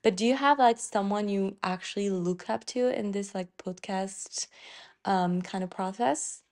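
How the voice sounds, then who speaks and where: A woman's voice: medium pitch, measured pace, a little mellow. A woman hosting an interview, asking questions loosely with many filler words. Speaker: podcast host.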